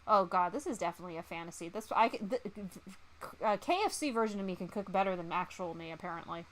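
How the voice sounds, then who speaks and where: A woman livestreaming a visual novel, reading it aloud and chatting with giggly, expressive reactions. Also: lowish voice, quick pace. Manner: giggly, expressive, reacting between read lines. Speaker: streamer playing a visual novel. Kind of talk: livestream game read-along with chatty reactions.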